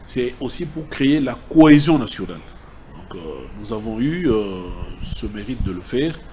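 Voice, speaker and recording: A man's voice: medium pitch, expressive, moderate pace, mellow. A man with a Congolese accent, a sports official explaining decisions to the press in an emphatic, point-by-point manner. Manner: emphatic, enumerates his points. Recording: clear background ambient noise.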